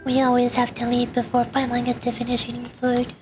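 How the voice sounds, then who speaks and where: A woman's voice: fairly quick, lightly acted. A woman voicing a small, always-hungry female companion in a game, reading the lines aloud while game music plays. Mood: whiny. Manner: high, excited and complaining.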